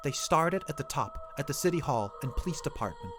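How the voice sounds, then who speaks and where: A man's voice: on the high side, expressive, a little fast, pitched above the performer's own voice, close to an everyday voice. Man doing a hunted paranoid husband, urgent clipped commands, raised tense voice, music bed behind.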